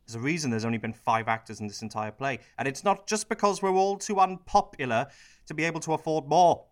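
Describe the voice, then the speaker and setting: A man's voice: on the high side, expressive, fast talker, natural voice. A man playing a cynical, self-pitying prime minister in a satirical radio play, sardonic and bitter as he confides in the audience. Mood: wry, resigned.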